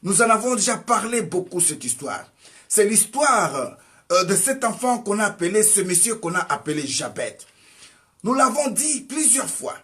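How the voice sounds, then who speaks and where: A preacher delivering an exhorting sermon with a high voice. He fires rhetorical questions at his listeners and swings between loud outbursts and soft passages. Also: big pitch swings, moderate pace. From Congo. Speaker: evangelist preacher.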